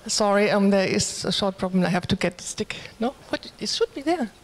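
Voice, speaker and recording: A woman with a German accent giving an informal conference presentation in a hesitant, halting way. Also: mid-range voice, lively intonation, in a large hall.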